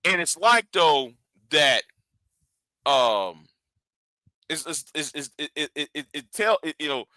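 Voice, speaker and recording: A man's voice: a little high, animated, takes it slowly, pauses often, sharp tone, no echo. A man in his forties, a live stream host, rambling in casual commentary with lots of stammered repeats. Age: 40s.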